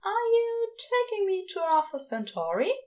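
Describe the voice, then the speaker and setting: Woman doing a high, lilting gentle male angel. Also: very animated, moderate pace, slight background noise. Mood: curious. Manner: polite, softly delighted, lilting.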